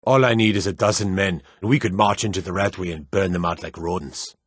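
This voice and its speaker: A man voicing a stern, dutiful fantasy city guard in a video game, speaking in a gruff, formal, clipped way. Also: sits low, fairly even intonation, fairly quick, no echo, studio quality. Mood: angry, disdainful. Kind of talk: scripted game character dialogue.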